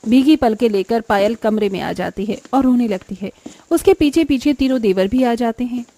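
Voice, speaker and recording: High female voice, scripted cartoon storytelling, narrator, dry close sound. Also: normal speed, warm tone, dry recording.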